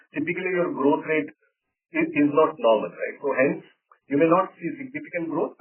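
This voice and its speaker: Over a narrow, distant phone connection, a man speaks as a company executive. He answers analysts off the cuff in an explanatory way, often tagging his points with a checking "right?". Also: a little high. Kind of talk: unscripted earnings call answers. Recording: narrow-band phone line, distant from mic.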